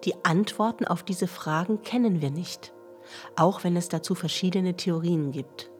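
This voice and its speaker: A science explainer, played by a woman, instructs young listeners in a children's radio play. Her voice sits fairly low and her manner is factual and didactic, with faint music behind her. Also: normal speed, natural voice. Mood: instructive. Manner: clear, didactic, addressing listeners directly. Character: female science explainer addressing children, matter-of-fact, instructive.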